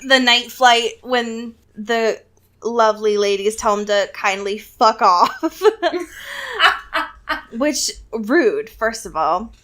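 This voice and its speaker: A woman co-hosting a podcast, chatting casually and comedically about books in an animated, sarcastic way. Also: fairly high pitch, slow pace. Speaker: podcast co-host. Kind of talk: casual comedic book discussion chat.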